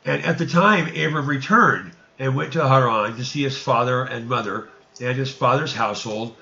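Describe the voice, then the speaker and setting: A man reading archaic scripture narrative aloud in an emphatic, declamatory and sometimes halting way. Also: mid-range voice, gravelly, bright, forceful tone, highs cut off.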